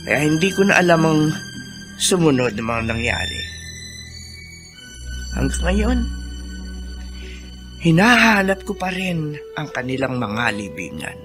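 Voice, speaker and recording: Expressive middle-aged housemaid, swooping pitch. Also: female voice, measured pace, long pauses, music in the background. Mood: grieving, subdued. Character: middle-aged housemaid, gossipy, exasperated, motherly, sorrowful past. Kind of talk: Filipino radio drama acting.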